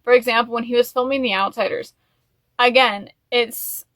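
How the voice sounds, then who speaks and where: Bright-voiced woman chatting casually. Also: on the high side, fairly even intonation, normal speed, no echo. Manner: rambling, gushing fan talk with frequent "like" and "you know". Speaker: YouTube host. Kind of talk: casual chat to camera, music album review.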